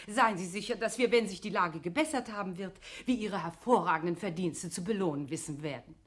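A woman's voice: fairly low pitch, a little fast, slightly rough. An actress playing an officious female narrator who gives formal, emphatic instructions in a radio play. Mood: mock-regretful. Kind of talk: scripted radio play recitation.

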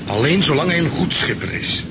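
Guarded ship's mate, very noisy. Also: male voice, a little high, animated, a little fast. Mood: evasive. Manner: restrained, evasive. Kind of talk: radio play acting. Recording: very noisy old radio play recording.

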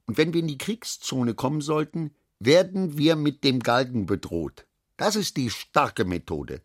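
An actor playing a worldly middle-aged businessman father, lecturing his daughter with emphatic, deliberate phrasing and a husky voice in a literary radio drama. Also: mid-range voice, no echo, clean studio sound.

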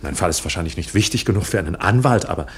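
An anxious clerk who has been arrested, in a German radio drama. His speech is formal and halting, and it swings widely in pitch as agitation breaks through. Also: animated, a little hiss or hum. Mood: uneasy, formal. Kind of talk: German radio play acting.

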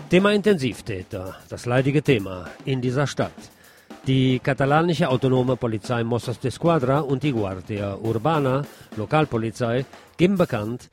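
Male news presenter, mid-pitched, scripted bulletin delivery, music running underneath. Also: a little mellow.

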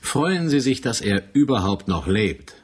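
An actor playing a brusque, domineering military major in a radio play, with a rough, husky voice and a curt, sarcastic manner. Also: male voice, mid-range voice, big pitch swings, unhurried. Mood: sarcastic, unyielding. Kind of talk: 1980s German radio play acting.

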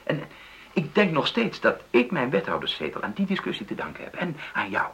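An actor in a radio drama playing an earnest, conciliatory middle-aged husband who stammers and searches for words in a creaky voice. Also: male voice, fairly high pitch, huge pitch swings, a little fast, a bit bright, heavily acted. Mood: insistent. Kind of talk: naturalistic radio drama dialogue.

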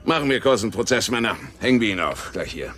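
Low, blustering Wild West marshal. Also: male voice, big pitch swings, quick pace, a bit bright, slight background noise. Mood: impatient, bloodthirsty. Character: gruff, hot-headed Wild West marshal, eager to hang. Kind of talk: radio drama acting.

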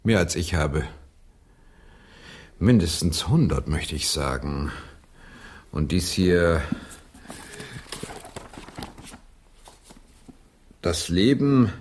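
An actor playing a curious, hesitant English visitor in a radio play, musing and fumbling for words. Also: male voice, deep voice, expressive, full character voice. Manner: halting, musing.

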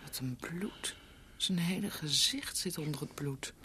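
A woman's voice: low-pitched, a little slow, fairly bright tone, slight background noise. An actress playing a tender, devoted and anxious young woman in a Dutch radio drama. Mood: shocked, hushed.

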